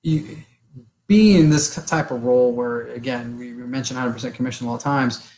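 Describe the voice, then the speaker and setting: A middle-aged man hosts a relaxed interview, candid and a bit rambling as he shares his own experiences. His voice sits at an ordinary pitch, plainly conversational. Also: a little fast, low-quality recording. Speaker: podcast host. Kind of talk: casual interview chat.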